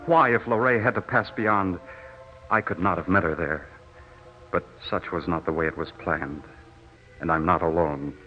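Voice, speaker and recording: An actor playing a lovestruck, haunted young returned soldier in an old radio drama, earnest and melodramatic. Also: male voice, sits low, normal speed, pauses often, soft background music, close to an everyday voice. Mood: brooding, devoted. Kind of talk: 1940s radio drama acting. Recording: muffled, hissy old radio recording.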